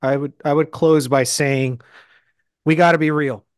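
A man's voice: fairly high pitch, fairly even intonation, unhurried, no room sound. A man in his thirties from the Bronx, making his points emphatically and directly on a headset and close mic. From the Bronx, New York. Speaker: podcast guest speaker. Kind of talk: casual panel discussion. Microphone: headset and close studio mic.

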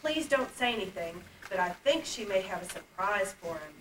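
A woman's voice: medium pitch, animated, normal speed, natural voice. A woman playing a kindly, reassuring young princess in an amateur puppet play, speaking earnestly. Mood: coaxing. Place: indoor puppet stage, far camera. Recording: distant, echoey, noisy old camcorder tape.

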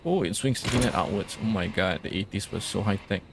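Low, creaky-voiced man doing game commentary. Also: a little fast, background noise. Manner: murmured thinking aloud, reads on-screen instructions. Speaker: gaming video host. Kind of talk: casual let's play commentary.

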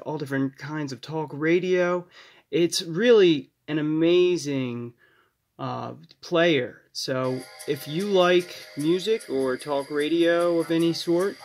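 A man's voice: on the high side, slow, darkish tone, soft background music. A man hosting a casual hands-on tech tips video, talking in an upbeat, recommending way and repeating his points. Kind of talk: casual hands-on app demonstration. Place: indoors at a desk.

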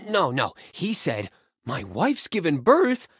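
Young male singer, playful, affectionate, boyish, animated intonation, romance game phone-call dialogue, dry recording. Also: fairly high pitch, slow, breathy edge, dry recording, full character voice. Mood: animated storytelling. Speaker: voice actor in a mobile romance game.

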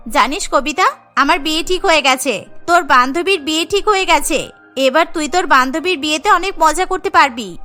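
A woman voicing a kind-hearted young rich bride in a cartoon, who speaks warmly and stands firm for her friend. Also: high voice, brisk, faint music behind, voice pushed down. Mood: excited. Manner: warm, firm when defending friend.

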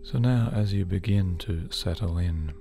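Man, deep relaxed voice, soothing hypnotherapist, deliberate phrasing, music underneath. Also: creaky. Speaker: hypnotherapist host. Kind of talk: guided sleep hypnosis narration. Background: background music.

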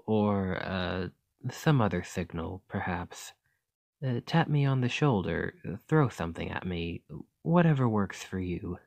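A man playing an earnest, chatty scholar in an ASMR roleplay, speaking gently and hesitantly as he rambles and interrupts himself. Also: low-pitched, expressive, a little slow, vocal fry, no echo, heavily acted. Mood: awkward, hesitant. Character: earnest, rambling male scholar gently reassuring a captive creature.

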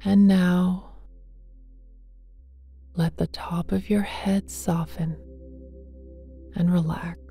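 A woman guides a meditation in a very dark, soft, mellow voice. She speaks slowly and gently, hushed and often breathy to the edge of a whisper, addressing the listener with tender affection. Soft music plays behind her. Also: on the low side, little pitch movement. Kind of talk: guided meditation, slow and soothing. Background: soft meditation music with singing bowls.

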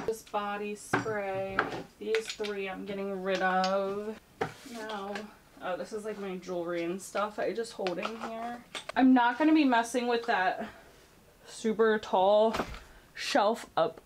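A woman in her thirties chatting casually as she tidies her beauty shelves indoors, moving toward and away from the camera mic. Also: medium pitch. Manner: chatty, rambling, thinking aloud while tidying. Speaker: beauty YouTuber. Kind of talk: casual cleaning vlog chat. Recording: noisy room tone with clicks.

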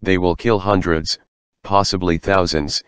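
A menacing artificial intelligence from an audiobook, voiced by a man. The voice is very deep and crisply clear, recorded dry and close. It speaks in clipped, formal phrases with no emotion. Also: fairly even intonation, a little slow, dry recording. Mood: cold, insistent. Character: cold, menacing artificial intelligence delivering dire warnings. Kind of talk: audiobook character voice.

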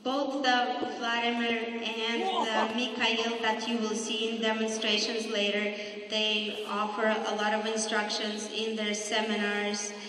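A woman talks through a demonstration in a calm, steady way, pausing with ums. Her voice sits a little high and barely moves, and it comes slowly. It is caught from a distance in a large, echoey hall. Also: slight background noise. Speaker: demonstration commentator. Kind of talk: live commentary over a PA. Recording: echoey hall, far from the mic.

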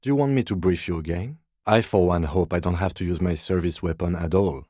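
An actor playing a composed, by-the-book middle-aged police detective in a video game, speaking in a measured, formal, deadpan way. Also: male voice, deep voice, no room sound, clean studio sound. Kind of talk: scripted game dialogue.

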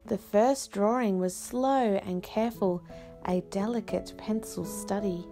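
A woman reading a children's picture book aloud with expressive, careful, unhurried phrasing and dramatic pauses. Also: mid-range voice, soft background music.

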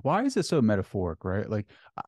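A young man with a deep voice asks questions in a casual, loose way. He speaks close to a boom-mounted studio mic in a dry room with no echo. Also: wildly swooping pitch, fast, a little mellow, husky. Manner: casual, frequent "right?" and "like". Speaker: podcast host. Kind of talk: interview questions. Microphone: studio mic on boom arm, headphones.

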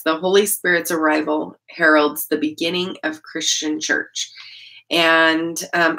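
A middle-aged American woman with a deep voice, talking in a chatty, rambling way like a casual devotional vlogger. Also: a bit bright. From Idaho, United States. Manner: rambling, chatty, often breaking off and restarting sentences. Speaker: host of a Bible-reading channel. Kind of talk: casual devotional vlog chat. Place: small indoor room at home.

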